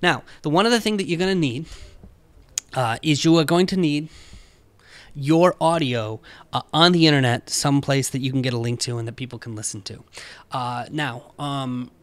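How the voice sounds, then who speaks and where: Casual man presenting a software tutorial. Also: medium pitch, normal speed, no room sound. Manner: informal, talks through each step.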